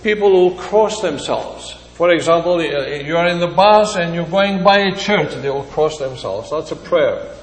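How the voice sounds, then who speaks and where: Older man, Greek accent, preacher, high voice, slow hesitant delivery, long reverb. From Greece. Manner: slow and deliberate, with frequent hesitations. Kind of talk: sermon.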